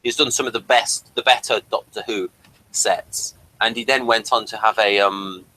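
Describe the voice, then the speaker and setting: A man in a casual fan discussion, co-hosting and sharing trivia in a halting, rambling way with repeated restarts. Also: on the low side, fairly even intonation, sharp tone, low-quality recording. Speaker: podcast co-host.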